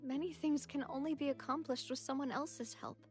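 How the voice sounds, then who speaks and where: A woman playing a sincere, big-hearted young gentlewoman in an anime video game, with an expressive delivery. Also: high-pitched, brisk, close to an everyday voice. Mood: tearful, remorseful. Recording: background music under dialogue.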